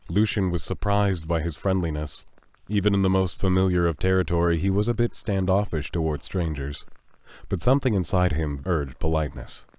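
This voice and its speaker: A man narrating a scripted audio drama in a measured, suspenseful storytelling manner. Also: sits low, a little mellow, no echo.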